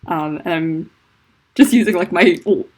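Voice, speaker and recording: A woman with a low, deep voice and a noticeable lisp talks to her livestream viewers from home. She rambles through her thoughts with plenty of fillers and sounds a little self-conscious. Her voice is picked up by a laptop mic. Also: a little slow, a little mellow. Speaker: livestreaming fanfiction writer. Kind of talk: casual livestream chat. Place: at home indoors.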